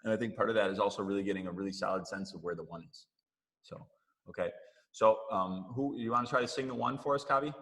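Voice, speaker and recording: A man's voice: on the low side, fast, a bit bright, rough recording. A man leading an online music class, explaining things loosely with lots of fillers over a video call. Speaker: music teacher leading a group session. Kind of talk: casual online class talk.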